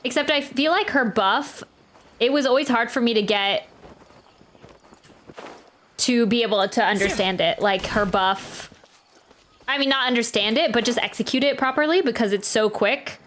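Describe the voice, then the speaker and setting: A woman's voice: on the high side, moderate pace, long pauses, a bit bright, slight background noise. A woman streaming a game, chatting casually and rambling as she thinks aloud. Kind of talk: casual live stream commentary. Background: game music.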